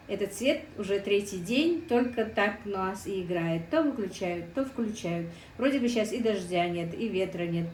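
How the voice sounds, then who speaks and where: A woman's voice: mid-range voice, darkish tone, echoey room, far from the mic, a little hiss or hum, rough recording. Chatty middle-aged woman. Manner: chatty, keeps calling the viewers friends. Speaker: cooking vlogger. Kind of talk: casual cooking vlog. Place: home kitchen.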